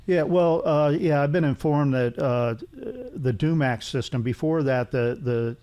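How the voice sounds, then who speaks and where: A middle-aged man talks as a guest in a casual technical interview. His voice sits at a medium pitch, and he explains things haltingly, circling back and repeating himself as he goes. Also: dark tone, smooth. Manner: halting, rambling explanations with repetition. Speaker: interview guest, loudspeaker engineer.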